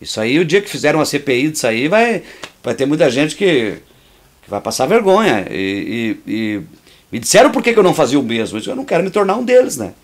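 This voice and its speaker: Male filmmaker, somewhat high voice, opinionated running commentary. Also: sing-song, quick pace. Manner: outspoken, opinionated, indignant; restarts and repeats words while talking freely. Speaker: filmmaker and actor. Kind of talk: commentary over a making-of.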